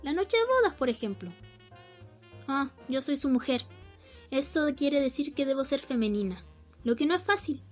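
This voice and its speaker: A young Chilean woman plays a bored young wife, high-voiced and theatrical, swinging between wry musing and mock grandeur. Faint music plays beneath her radio-play monologue. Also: big pitch swings, normal speed, long pauses. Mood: mock oratory. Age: young adult. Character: young bored wife plotting against husband. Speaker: student actor. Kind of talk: student radio drama acting.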